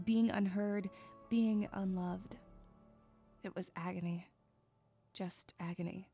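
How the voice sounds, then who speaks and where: A troubled, irritable young loner , played by a woman, tells her story in the first person. The delivery is introspective and dramatic, with some creak in a mid-pitched voice. Faint music runs underneath. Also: dark tone. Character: troubled, withdrawn, irritable young loner girl narrating inwardly.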